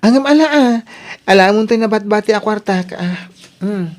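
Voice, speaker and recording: A woman's voice: mid-range voice, normal speed, a little mellow, close to an everyday voice. A woman with an Ilocano accent acting a brash, casual friend in a radio drama. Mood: exasperated. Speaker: drama voice actor.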